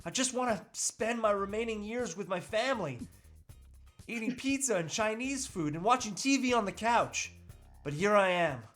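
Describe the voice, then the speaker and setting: A man's voice: high voice, animated, normal speed, fairly bright tone, faint music behind. A man acting a reluctant, overwhelmed family man in a comedic table read, hesitant and protesting. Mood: regretful.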